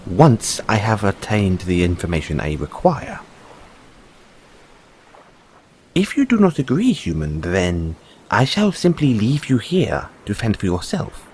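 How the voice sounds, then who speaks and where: Man playing a low-voiced, expressive merman prince. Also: slight background noise. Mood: grave, bargaining. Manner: formal, deliberate, measured phrasing with dramatic pauses. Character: proud, secretive merman prince, courteous but wary of humans. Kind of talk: scripted audio roleplay addressed to the listener.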